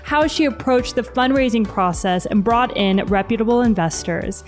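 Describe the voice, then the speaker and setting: Upbeat woman podcast host, higher voice. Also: normal speed, soft background music. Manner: warmly enthusiastic, scripted intro then chatty questions. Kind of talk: podcast interview.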